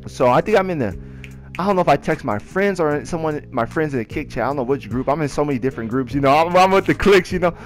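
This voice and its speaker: A young man telling personal stories in a casual, rambling, slangy and excitable way. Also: fairly high pitch, fast talker. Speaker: video creator telling personal ghost stories. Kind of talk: casual storytelling over gameplay. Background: music.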